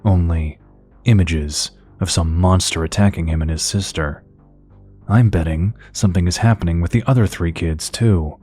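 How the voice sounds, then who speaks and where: Serious fed agent, deep voice, official and firm, light music under. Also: male voice, warm tone, breathy edge. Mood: grave. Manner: grave, authoritative, measured. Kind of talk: audio drama acting.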